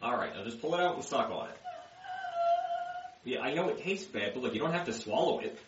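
A man's voice: mid-range voice, lively intonation, fairly quick, noisy background, lightly acted. A man playing a bumbling, insecure doctor who rambles and stammers, then screams insults at himself, on a dull lo-fi recording. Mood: coaxing. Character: bumbling insecure male doctor, self-loathing.

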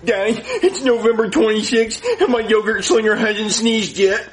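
A man's voice: sits high, steady tone, moderate pace, over a noisy crowd, close to an everyday voice, poor audio. A man playing a crude, childish, easily frightened grown son in a comedy skit, whiny and silly. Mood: silly, whining.

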